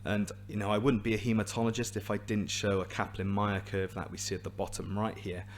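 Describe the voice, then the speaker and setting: A man with a hoarse, raspy voice giving a scientific seminar to a live and online audience, explaining clearly with a few restarts. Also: on the low side, slight background noise. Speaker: invited seminar speaker, hematologist.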